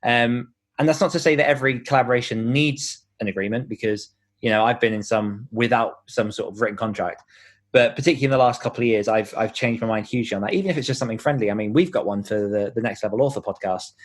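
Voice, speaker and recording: A man speaking casually as a podcast guest, an author who explains things in a chatty way with imagined examples. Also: on the low side. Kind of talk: casual interview conversation.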